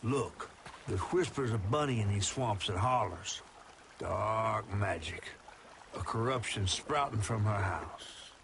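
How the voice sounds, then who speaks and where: An actor voicing a folksy talking catfish storyteller in a game, with a gravelly Southern US accent and a wry, wary manner. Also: male voice, fairly low pitch, animated, slow pace, noisy background. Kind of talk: folktale narration and game dialogue.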